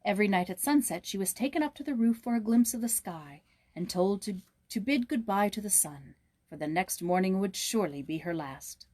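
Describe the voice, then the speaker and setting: A woman reading a fairy tale aloud as a storybook narrator, expressive and with dramatic emphasis. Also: medium pitch, brisk, dry recording.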